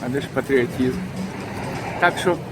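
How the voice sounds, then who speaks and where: A man's voice: mid-range voice, normal speed, over music. A man with a Ukrainian accent, a passerby answering a street interview in a rambling way. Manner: rambling, mixes Ukrainian and Russian.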